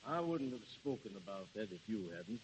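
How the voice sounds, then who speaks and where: An actor playing a mild, earnest, well-mannered suitor who speaks haltingly and politely in a 1930s radio drama. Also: male voice, lowish voice, big pitch swings, slight background noise. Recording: dull old radio recording.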